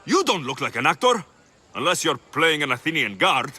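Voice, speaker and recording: An actor playing a firm, no-nonsense young Greek mercenary hero in a video game, blunt and commanding. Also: male voice, on the high side, big pitch swings, normal speed, sharp tone, breathy edge, heavily acted. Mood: threatening.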